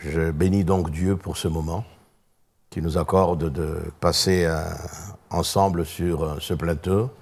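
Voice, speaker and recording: Low-voiced older Congolese pastor. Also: male voice, steady tone, a little mellow. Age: 60s. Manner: many filler pauses, measured storytelling. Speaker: pastor guest. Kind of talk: hesitant, thoughtful recounting. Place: television studio.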